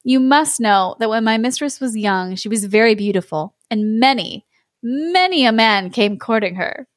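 A woman voicing a sly, melodramatic male cat in a storybook read-aloud, mournful and drawn-out in manner. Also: fairly high pitch, lively intonation, no echo, studio quality, close to an everyday voice. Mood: wistful storytelling.

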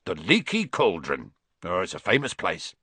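A man voicing a huge, gruff male half-giant gamekeeper in a storybook reading. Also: low voice, wildly swooping pitch, normal speed, bright, forceful tone, no echo, pitched below the performer's own voice, full character voice. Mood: proud.

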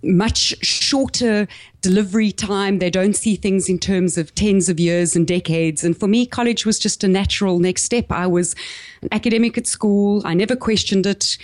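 A woman's voice: medium pitch, moderate pace, dry recording. A woman in her forties with a South African accent, speaking as a podcast guest in an articulate, reflective and upbeat way. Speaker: podcast guest, business coach. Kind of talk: interview conversation.

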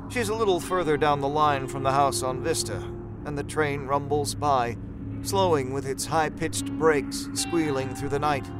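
A man reads scripted horror fiction as the narrator. His voice sits a little higher than most men's, and music plays clearly behind it.

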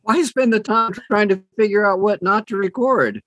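A man's voice: sits high, moderate pace, fairly bright tone, no echo. Older man telling stories, very dry recording. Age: middle-aged or older. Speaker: interview guest, audiobook narrator. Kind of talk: casual conversational storytelling.

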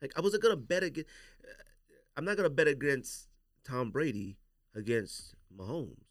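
A man's voice: medium pitch, moderate pace, a bit bright, dry recording, clean studio sound. An American man hosting a casual sports podcast, giving emphatic, opinionated takes and repeating his points for emphasis.

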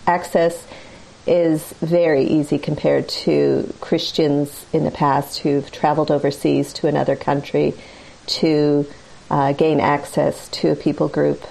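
A woman speaks in a very low, mellow voice with heavy vocal fry. She explains steadily and earnestly, as a guest in conversation. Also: low-quality recording. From North America. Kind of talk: conversational testimony.

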